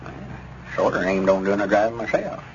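A man's voice: fairly low pitch, fairly quick, lightly acted. Casting: male actor for homespun Southern country storekeeper, hopeful schemer, old radio comedy, muffled sound with light hiss. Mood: objecting. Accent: rural Southern American. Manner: drawling, homespun country talk. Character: folksy, optimistic rural storekeeper and would-be circus man. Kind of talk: 1930s radio comedy serial acting. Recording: muffled, noisy 1930s radio recording.

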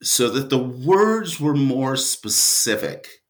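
A man speaking as an interview guest, a writer and director who answers conversationally in halting, deliberate, emphatic phrases. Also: medium pitch, big pitch swings.

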